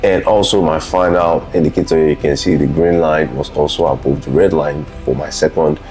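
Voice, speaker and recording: A man with an extremely deep voice walks through material like a tutor. He talks off the cuff but methodically, restating the important points as he goes. Faint music plays behind him. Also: steady tone, moderate pace, darkish tone. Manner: unscripted, methodical, repeats key points. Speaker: host, trading tutor. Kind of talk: instructional screen walkthrough, explaining charts.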